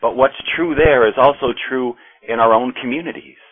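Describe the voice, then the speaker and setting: An American man reading scripture and then preaching a sermon in a slow, measured way with pauses. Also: mid-range voice. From United States. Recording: tinny phone-like audio.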